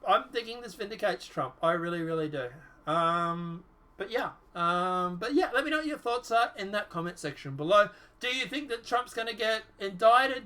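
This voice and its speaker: A man with a high, bright voice gives casual political commentary, reading a document aloud and reacting to it. He is dismissive and trails off with repeated filler phrases.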